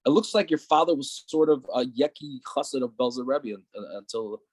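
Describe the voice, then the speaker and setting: A man's voice: medium pitch, brisk, crowd noise. A man hosting an interview, asking long, loose questions full of fillers. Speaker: interviewer and host.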